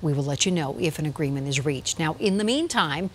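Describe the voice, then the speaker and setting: American news anchor lady, lowish voice, talking straight to viewers. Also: lively intonation, a little fast, a little hiss or hum. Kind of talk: scripted news presentation to viewers.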